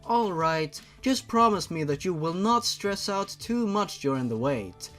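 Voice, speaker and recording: A man voicing a gentle, caring sister with formal speech in a story reading. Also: sits high, animated, a little slow, soft background music, pitched above the performer's own voice. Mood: caring, soothing.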